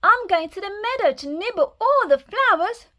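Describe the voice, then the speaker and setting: A woman voicing a tiny, timid young billy goat who squeals in a very high voice. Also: animated, normal speed, bright, forceful tone, heavily acted. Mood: pleading.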